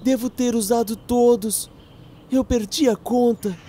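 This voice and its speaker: A woman's voice: fairly high pitch, steady tone, unhurried, dark tone, a little hiss or hum, close to an everyday voice. Woman voicing an eager, bubbly boy steam engine, Brazilian. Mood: disappointed. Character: cheerful, helpful young boy steam engine. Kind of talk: children's cartoon dubbing.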